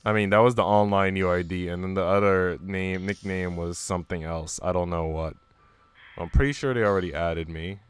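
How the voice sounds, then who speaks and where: A man's voice: deep voice, normal speed, clear, resonant tone. A man live streaming in an informal, slangy way, moving between casual chat and reading aloud.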